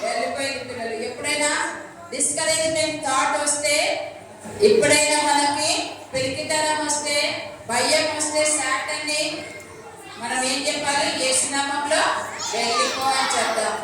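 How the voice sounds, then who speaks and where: High-pitched woman presenting in echoing hall. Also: fairly even intonation, normal speed, pauses often. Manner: instructive, repeats phrases for children. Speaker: children's puppet show presenter. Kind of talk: lively scolding and prompting of puppets and children. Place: large hall at a church Bible school. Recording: very echoey hall, far mic, crowd noise.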